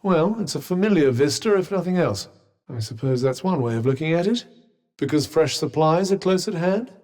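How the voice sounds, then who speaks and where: A sardonic magic bracelet, voiced by a man, trades dry, teasing remarks as a game companion. His voice sits fairly high and swings widely in pitch. A long reverb trails behind every line. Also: animated, moderate pace, darkish tone, natural voice. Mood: wry. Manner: dry, teasing, understated quips. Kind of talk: video game voice lines.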